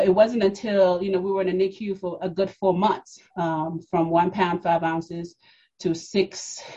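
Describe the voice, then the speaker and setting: A woman in her mid-forties speaks as a podcast guest, telling her own story in a husky, mellow low voice. She is reflective and pauses often with fillers and restarts. The recording sounds dull and lo-fi. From Democratic Republic of the Congo, raised in New York. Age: 40s. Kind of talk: personal story in an interview.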